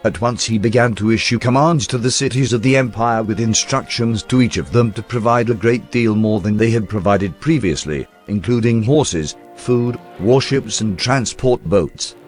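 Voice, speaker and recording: A man reading a historical account aloud in a measured, storytelling documentary narration. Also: lowish voice, faint music behind. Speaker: reader of historical text.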